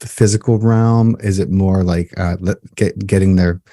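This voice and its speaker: A man's voice: sits low, steady tone, measured pace, mellow, dry recording, clean studio sound. A man hosting a podcast in casual chat, laid-back and slangy as he introduces and questions his guest. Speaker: podcast host.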